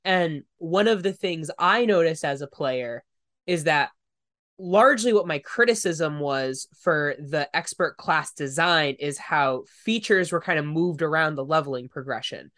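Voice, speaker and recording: A man's voice: a little high, measured pace, bright, forceful tone, no room sound. Congested nasal man, dry sound. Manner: thoughtful, explanatory, with fillers. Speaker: podcast co-host. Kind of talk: casual chat.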